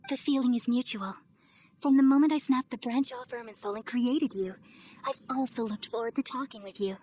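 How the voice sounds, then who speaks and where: A woman playing a serene, wise and motherly goddess in an anime-style video game, speaking gently and solemnly. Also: fairly high pitch, brisk, a little hiss or hum, natural voice. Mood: gentle, reassuring. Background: music.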